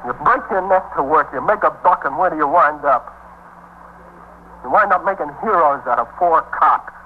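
An actor playing a cornered, self-pitying small-time drug dealer from the streets, rambling and griping in a 1950s radio drama. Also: male voice, fairly high pitch, moderate pace, natural voice. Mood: bitter, self-pitying. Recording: muffled 1950s radio recording, faint hum.

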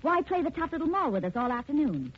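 An actress playing a sceptical, sharp-tongued wisecracking sidekick in sardonic 1940s radio style. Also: female voice, high-pitched, big pitch swings, fast. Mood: sceptical, sarcastic. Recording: muffled, noisy 1940s radio recording.

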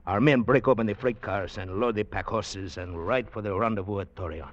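An actor playing a secretive Mexican ex-revolutionary with a Mexican Spanish accent and halting English, in old-time radio drama style. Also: male voice, fairly low pitch, natural voice. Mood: intense, confiding. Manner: conspiratorial, halting non-native English phrasing. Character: secretive Mexican ex-revolutionary fugitive plotting gold recovery. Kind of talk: 1940s-50s radio drama acting. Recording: muffled vintage radio recording.

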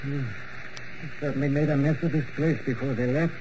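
An actor playing a fussy, worried theatre impresario in 1940s radio drama style. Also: male voice, medium pitch, fairly even intonation, fairly quick, lightly acted. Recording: muffled old radio recording, faint noise.

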